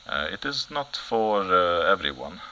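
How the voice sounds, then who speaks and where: An actor playing a mysterious, solemn foreign informant in an audio comedy, halting, evasive and deadpan. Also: male voice, low-pitched, a little hiss or hum, full character voice. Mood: cryptic, solemn. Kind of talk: satirical news audio comedy acting.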